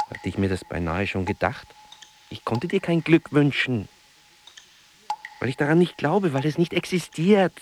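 A man as a sober, disillusioned loner, mid-pitched. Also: background noise, close to an everyday voice. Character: reserved, disillusioned solitary man, quietly attentive listener. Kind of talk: restrained literary radio drama acting.

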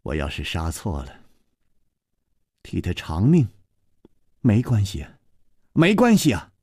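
A proud, cruel young woman disciple, as a male storyteller puts her on, sneering one moment and snapping loudly the next. Her voice sits in a low register with wide swings of pitch. The recording is close and dry. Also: very animated, normal speed, no room sound. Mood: fierce.

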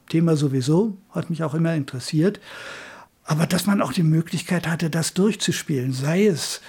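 An older man speaks reflectively as an interviewed radio director. His voice is husky and gravelly, yet fairly high for a man. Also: a little mellow. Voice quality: husky, creaky. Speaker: retired radio drama director being interviewed.

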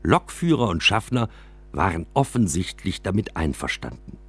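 A man narrating a scripted first-person story for a radio drama, with an expressive delivery. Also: sits low, normal speed, slightly rough.

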